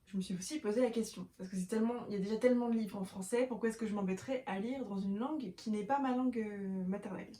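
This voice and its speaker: A woman's voice: mid-range voice, quick pace, hardly any pauses, warm tone. A young woman talking casually to camera about her reading, with many fillers and self-corrections as she shows books. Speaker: book vlogger. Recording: echoey room.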